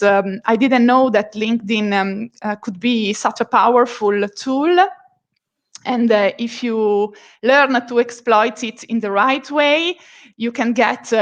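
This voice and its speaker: Italian-accented woman guest, slow and a bit high, hesitant with fillers, giggly, speaking over a video call. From Italy. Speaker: freelance translator, guest. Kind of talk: casual interview answers.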